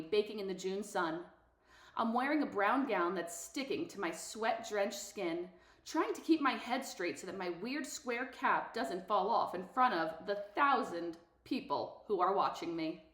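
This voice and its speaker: A woman's voice: mid-range voice, a bit bright. Woman reading as wry teenage boy, echoey room. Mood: dazed, questioning. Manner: wry, confiding first-person storytelling. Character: thirteen-year-old boy narrator, self-deprecating, wry, girl-struck. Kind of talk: dramatised novel read-aloud.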